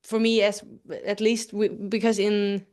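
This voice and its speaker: A woman's voice: medium pitch, level tone, a little slow, a little mellow. A woman with a Swedish accent, a native Swedish speaker, giving hesitant interview answers and searching for English words. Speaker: actress interviewee.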